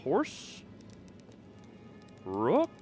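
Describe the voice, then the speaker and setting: A man's voice: a little high, huge pitch swings, drawn-out words, darkish tone, faint music behind. A man giving casual live game commentary, thinking aloud with playful, quirky turns of phrase. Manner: playful, quirky word choices. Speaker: video game streamer.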